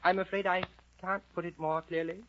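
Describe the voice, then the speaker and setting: A man's voice: high-pitched, little pitch movement, moderate pace, a little hiss or hum, pitched above the performer's own voice. A man voicing a shy, polite, bewildered storybook girl in a hesitant, apologetic way. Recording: dull lo-fi old soundtrack, faint music.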